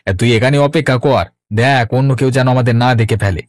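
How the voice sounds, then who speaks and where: A man's voice: medium pitch, brisk, no echo, lightly acted. A man voicing a cocky, scheming young village rascal in a cartoon, with a boastful, slangy way of talking. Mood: confident, scheming. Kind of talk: Bengali cartoon voice acting.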